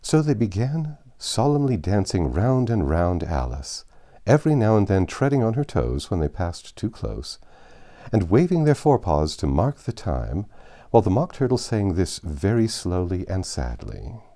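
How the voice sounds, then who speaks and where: A man narrating a classic storybook aloud in an expressive, scripted reading style. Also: deep voice, normal speed. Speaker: audiobook narrator.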